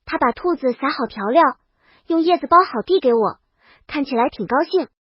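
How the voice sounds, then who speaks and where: A woman's voice: high voice, lively intonation, brisk, no echo, dull audio. A woman reading scripted first-person audiobook narration as the storyteller.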